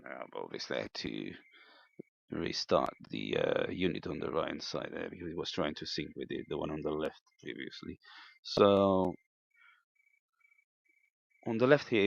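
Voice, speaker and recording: A man giving an unscripted hands-on equipment demonstration as a hobbyist, thinking aloud in a hesitant, halting way. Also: sits low, vocal fry. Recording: dull, lo-fi, echoey room sound.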